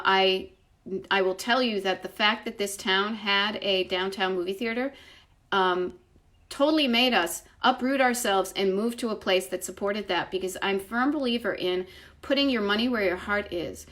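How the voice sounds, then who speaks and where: A woman with a Boston accent hosts a casual live chat from home. Her voice sits at an average pitch, and she talks in an effusive, rambling way. She often breaks off to restart a thought, then lands it with emphasis. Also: a bit bright. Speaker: livestream host, retro travel writer. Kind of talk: casual chat answering live viewer comments. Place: at home, indoors.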